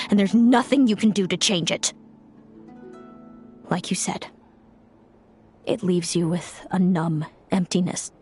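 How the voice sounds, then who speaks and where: A bitter, resentful fae sister. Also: female voice, fairly low pitch. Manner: cool, bitter, measured. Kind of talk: game voice acting. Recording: game audio with faint music.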